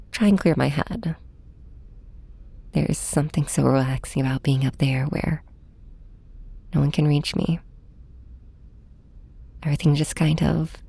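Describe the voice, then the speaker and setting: Woman acting a breathy, wounded young superhero. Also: low voice, dry recording, exaggerated voice. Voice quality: soft, breathy. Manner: hesitant, halting, hushed. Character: heartbroken young flying superhero confiding in and snapping at nemesis. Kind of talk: first-person audio drama narration, ASMR hybrid.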